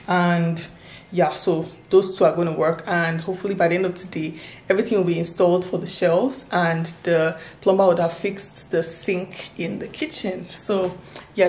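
A woman's voice: lowish voice, a little hiss or hum. A young Nigerian woman with a Nigerian accent chats casually to a handheld camera, thinking aloud with plenty of fillers as she walks through a small, bare apartment. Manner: relaxed, chatty, thinking aloud with many fillers. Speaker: vlogger. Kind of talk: casual vlog chat to camera. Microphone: handheld camera close to face. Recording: echoey empty rooms with background music.

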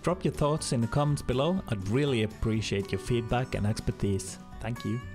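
A young man hosting a casual do-it-yourself video, explaining each repair step in a relaxed, self-deprecating way. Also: medium pitch, moderate pace, over music. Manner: casual, self-deprecating, thinks aloud while explaining steps. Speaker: channel host. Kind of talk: casual DIY vlog narration.

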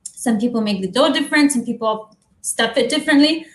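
A woman's voice: on the high side, brisk. Young woman baker in an echoey kitchen. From Middle East, grew up in Amman. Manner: warm, chatty, many fillers. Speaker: guest baker. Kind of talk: casual chat and cooking demo. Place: home kitchen, on video call.

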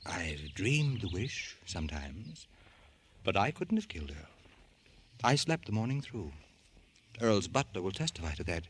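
A man's voice: deep voice, sing-song, unhurried, pauses often, slightly rough, heavily acted. A man playing a bitter, cultured doctor in an old radio drama, speaking in a precise, sardonic, theatrical way. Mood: subdued, wry. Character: bitter, cultured male doctor, resentful brother of a rich man. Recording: old radio recording with faint noise.

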